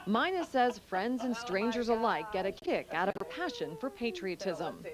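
A woman reporter narrating a local news feature in an even, measured delivery. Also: fairly high pitch, a crowd in the background.

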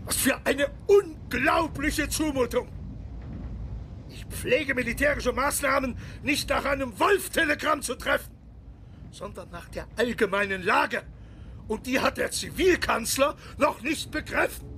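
Pompous, high-pitched German Kaiser. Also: male voice, lively intonation, unhurried, bright, forceful tone, slight background noise, exaggerated voice. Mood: indignant. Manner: pompous, commanding. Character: imperious German Kaiser, vain, confident, easily indignant. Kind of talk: historical audio drama acting.

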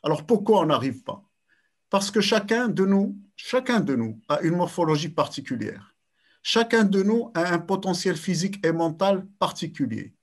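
An older man giving a lecture over a video call, measured and repeating words as he searches for phrasing. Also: high-pitched, breathy edge. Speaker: sports science speaker. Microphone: webcam on video call.

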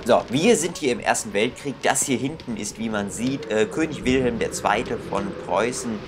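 A man's voice: mid-range voice, moderate pace, background noise. A young man commenting casually on a game he plays, musing aloud and reading its text. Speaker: gaming video host.

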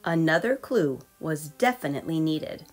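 Female children's story reader, low register, expressive and measured, light music bed. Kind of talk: expressive read-aloud for young children. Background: soft background music.

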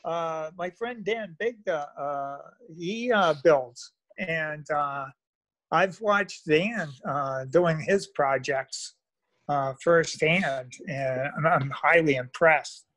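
A man's voice: fairly high pitch, slow, a bit bright, no echo. An older retired man chatting casually about his hobby, rambling and often trailing off into filler phrases. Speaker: meetup guest, model railroad hobbyist. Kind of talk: casual chat. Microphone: phone at arm's length on video call.

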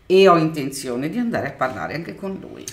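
A woman's voice: low-pitched, sing-song. A woman playing composed, determined older lady. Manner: polite, formal and measured. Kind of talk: amateur audio drama.

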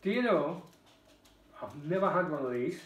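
A wry, talkative Geordie man in his fifties, reviewing a beer at his kitchen table. His voice sits a little high for a man and is caught by a camera across the room, so it sounds distant and echoey. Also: expressive, normal speed. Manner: chatty, wry, swears casually. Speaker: beer vlogger. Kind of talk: casual beer review chat. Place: home kitchen, indoors.

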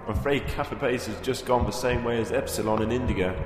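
A man's voice: mid-range voice, steady tone, fast, roomy sound, big-room sound, music in the background, close to an everyday voice. A man playing a brusque, commanding resistance fighter in an audio drama. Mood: tense. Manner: terse, commanding. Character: brusque male resistance fighter planting a bomb.

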